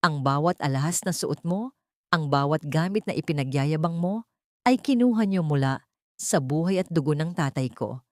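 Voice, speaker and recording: A woman's voice: sits low, expressive, normal speed, darkish tone, no room sound, clean studio sound. A woman voicing a wronged, vengeful wife in an audio drama, accusing and resolute. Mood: bitter, accusing.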